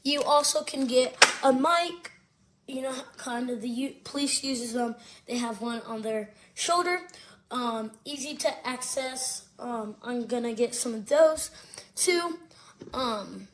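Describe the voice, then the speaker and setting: A woman's voice: high-pitched, takes it slowly, a bit bright. A woman giving a casual spoken product review and demonstration, hesitant and often repeating herself. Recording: faint background noise and clicks.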